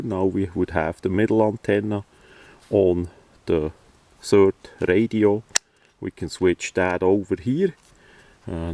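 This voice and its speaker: Slow, low-voiced man, halting delivery. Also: dark tone, no echo. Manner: halting, hesitant, matter-of-fact delivery. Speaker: hobbyist presenter. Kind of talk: casual hands-on product demonstration. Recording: distant microphone.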